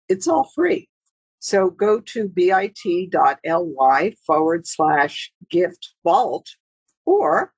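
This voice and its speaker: A woman hosts an interview podcast in a slightly husky voice. She is chatty and self-deprecating, with pauses and fillers as she talks. The sound is dry, with no room echo. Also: fairly low pitch. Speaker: podcast host.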